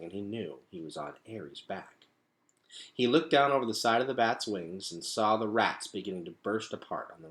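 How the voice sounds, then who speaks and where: A man's voice: fairly low pitch, fairly bright tone. A man reading a storybook aloud as a storyteller, with dramatic, emphatic phrasing.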